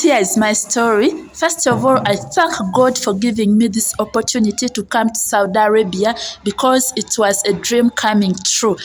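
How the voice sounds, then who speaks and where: Ugandan woman, fairly high voice, personal vlog style, repetitive emphatic phrasing, recorded outside with faint background noise. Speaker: vlogger and housemaid. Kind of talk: chatty personal vlog addressed to viewers. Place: outdoors on a residential street. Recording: noisy outdoor ambient sound. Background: outdoor ambience noise.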